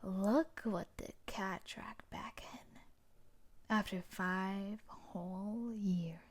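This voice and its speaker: A woman's voice: medium pitch, steady tone, extremely slow. A woman playing a tough, sadistic and obsessive young female bully in a dramatic audio roleplay, taunting and threatening, often dropping toward a whisper. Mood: bitter, mocking.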